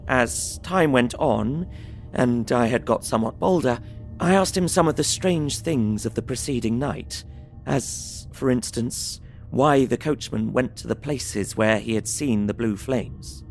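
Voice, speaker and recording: Casting: polite young gentleman diarist, mid-range voice, measured formal narration, gothic audio drama with faint music. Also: medium pitch. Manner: measured, formal, literary phrasing. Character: Jonathan Harker, polite young Englishman, earnest diarist.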